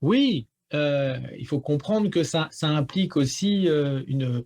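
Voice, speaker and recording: A man's voice: fairly high pitch, lively intonation, slow pace, darkish tone. A man giving analysis as a guest pollster in a radio interview, explaining things with frequent stammered repeats.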